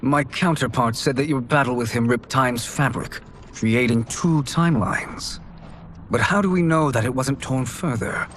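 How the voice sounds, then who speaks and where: A man's voice: medium pitch, moderate pace, a bit bright, a little hiss or hum, close to an everyday voice. A man playing a thoughtful, persistent sorcerer in a video game, with a questioning, persuasive manner. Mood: pondering, insistent.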